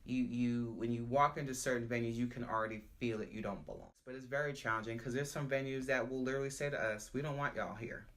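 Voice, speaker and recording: A woman who founded and directs an arts nonprofit, telling her story in an emphatic, expressive way in an interview. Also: extremely low pitch, fast, few pauses. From United States.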